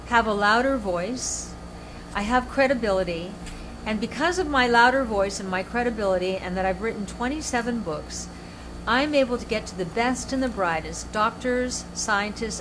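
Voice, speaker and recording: An older woman hosting her show, telling stories in an animated, emphatic way with many restarts. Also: fairly high pitch, normal speed, background noise. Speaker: show host and author. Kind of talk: casual chat.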